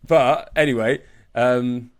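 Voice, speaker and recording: A man's voice: medium pitch, steady tone, takes it slowly, clean tone. A man who works as a boxing reporter, chatting casually about sport in an informal, slangy, sweary way. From born in Brisbane, Australia. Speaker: boxing reporter and podcast co-host.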